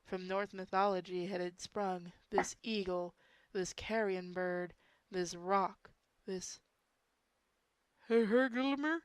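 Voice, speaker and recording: A woman reads a dark story aloud at an unhurried pace, with a medium-pitched voice in a dry recording. She is informal about it, stumbling on words and slipping into blunt casual asides. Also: clean tone, dry recording. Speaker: story reader. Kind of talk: amateur dramatic reading of a horror story with joking asides.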